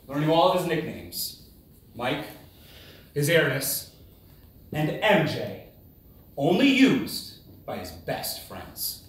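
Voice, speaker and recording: A man giving a rehearsed, humorous storytelling speech in a theatrical manner, with dramatic pauses and exclamations. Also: a little high, fairly bright tone. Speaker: contest speaker. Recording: very echoey room, distant microphone.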